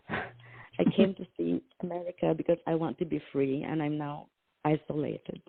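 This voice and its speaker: Slow, gravelly Malaysian-accented woman. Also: sits low, steady tone, no room sound. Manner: slow, halting, searching for words. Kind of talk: casual interview chat. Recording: phone-like video call audio.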